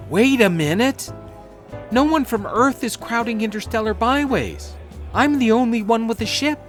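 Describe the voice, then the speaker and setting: A woman's voice: on the high side, lively intonation, normal speed, soft background music, lightly acted. A woman playing an earnest, bewildered space captain with an incredulous, questioning delivery in a comic sci-fi audio drama. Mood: puzzled, earnest.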